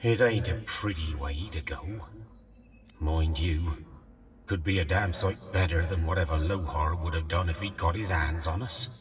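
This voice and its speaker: A man as a deep, glum ghost henchman. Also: moderate pace, recorded from a distance, a little hiss or hum, lightly acted. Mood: glum resignation. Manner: rough colloquial speech. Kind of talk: video game voice acting.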